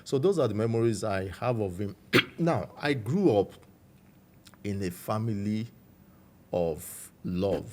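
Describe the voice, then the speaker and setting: Low-voiced Nigerian man telling his story. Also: expressive. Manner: measured, warm storytelling with frequent "you know". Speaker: guest. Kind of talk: reflective interview storytelling.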